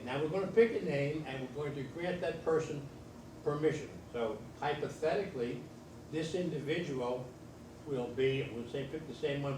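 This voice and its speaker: Loud-voiced man teaching a workshop, echoey room. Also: medium pitch. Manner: loud, repetitive, plain-spoken instructor. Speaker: workshop presenter. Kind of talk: training workshop presentation. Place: meeting room with an audience. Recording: echoey room, distant mic, noisy.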